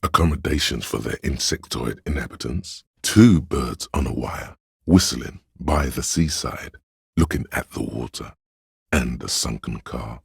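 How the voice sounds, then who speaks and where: A man playing a cerebral, pretentious inner voice of artistic imagination in a game, narrating theatrically and deliberately in a gravelly, husky voice. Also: very deep voice, dry recording, studio quality, heavily acted. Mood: dry, literary. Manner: pompous, theatrical, deliberate diction. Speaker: game voice actor. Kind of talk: dramatic scripted game narration.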